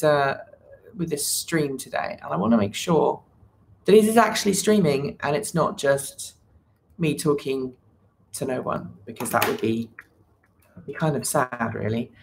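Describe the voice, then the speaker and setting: A woman's voice: low voice, animated, unhurried, darkish tone, a little hiss or hum. A woman hosting a casual livestream, talking to viewers with many fillers and restarts. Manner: chats with viewers, reads the chat. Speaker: livestream host.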